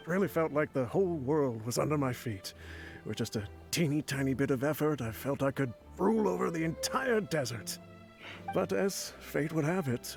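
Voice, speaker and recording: A man's voice: mid-range voice, gravelly, darkish tone. A man playing an ailing old mercenary leader in a video game, wry, boastful and fatherly as he voices a letter. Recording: game music behind the voice.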